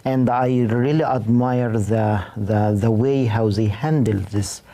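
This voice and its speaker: A man with an Egyptian accent, answering interview questions in an earnest, halting way. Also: mid-range voice, steady tone, dark tone. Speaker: director of a TV station's Arabic department.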